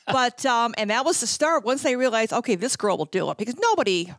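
A woman's voice: a little high, very animated, fairly quick. Chatty middle-aged American woman telling stories. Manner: chatty, self-quoting, acts out dialogue. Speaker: interview guest, former radio promotions worker. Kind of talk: casual anecdotal storytelling.

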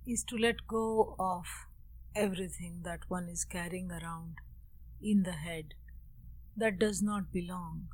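A woman leading a guided meditation, speaking in short, measured phrases with long pauses between them. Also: lowish voice, steady tone, darkish tone, rough recording. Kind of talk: guided meditation with opening Sanskrit chant.

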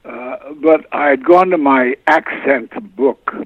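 Raspy older man on a phone line. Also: mid-range voice, no room sound. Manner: rambling, halting, many fillers and restarts. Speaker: guest actor. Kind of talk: relaxed interview storytelling.

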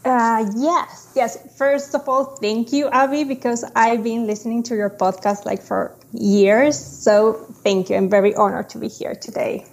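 Slow-speaking woman with gravelly tone. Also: a little high, steady tone. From Chile. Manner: slow, halting, with frequent restarts. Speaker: guest, designer. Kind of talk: casual interview.

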